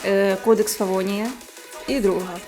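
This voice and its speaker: A woman gaming vlogger chatting casually to viewers as she plays, thinking aloud in a halting way. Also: medium pitch, level tone. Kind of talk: casual gacha-pull commentary to viewers. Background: music.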